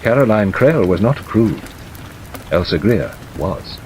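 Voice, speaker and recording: An elderly lawyer played by a male audiobook reader declaims with worldly sentiment. His pitch rises and falls widely, with melodramatic sighs and pauses. A faint wash of rain sits over the recording. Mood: dry, worldly. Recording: steady rain noise over narration.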